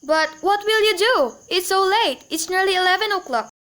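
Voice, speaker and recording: A woman's voice: very high voice, expressive, moderate pace, pushed and bright, some chatter behind, slight background noise, exaggerated voice. A woman acting an anxious, demanding, self-pitying invalid wife in an amateur radio play, agitated and querulous. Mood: nervous, defensive. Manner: agitated, querulous, exclamatory, repeating herself.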